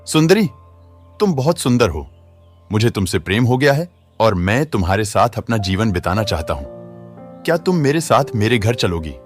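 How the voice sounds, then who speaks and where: A man playing a young, flattering, scheming village swindler in a cartoon moral story. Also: lowish voice, normal speed, soft background music. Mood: wooing. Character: smooth-talking young village swindler wooing a wife.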